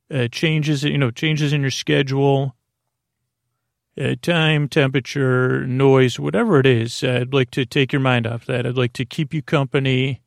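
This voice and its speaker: A man with a creaky voice hosting a bedtime podcast, rambling through halting, goofy, meandering improvised asides. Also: medium pitch, no room sound, clean studio sound. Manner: goofy, gently silly. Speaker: podcast host.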